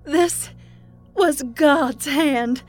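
A woman's voice: high-pitched, a little mellow, faint music behind, pitched above the performer's own voice. A woman putting on a gloating, zealous pregnant patient. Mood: gleeful fervor. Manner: slow, sing-song, mocking religious fervor.

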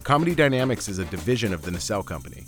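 A man reading a podcast's scripted intro and outro in an even, polished delivery. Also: on the low side, racing. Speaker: podcast announcer. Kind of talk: scripted announcement. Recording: studio voice over light music.

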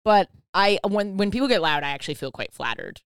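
A woman's voice: mid-range voice, expressive, fairly quick, clear, resonant tone, no echo, clean studio sound. A woman hosting a podcast, chatting casually with playful teasing banter. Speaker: podcast host. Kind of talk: casual chat.

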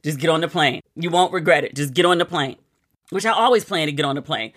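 An American woman hosting a solo podcast, talking in a chatty, animated and confiding way. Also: low-pitched, fast talker. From United States. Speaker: podcast host. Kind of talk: casual solo podcast chat.

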